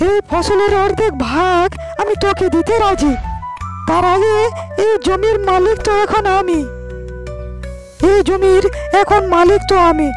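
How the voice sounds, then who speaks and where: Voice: very high-pitched, measured pace, music in the background. A performer voicing a clever young farmer in a cartoon, firmly arguing and repeating his points. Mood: sly, firm. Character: clever, firm young farmer man standing his ground. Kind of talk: Bengali cartoon character dialogue.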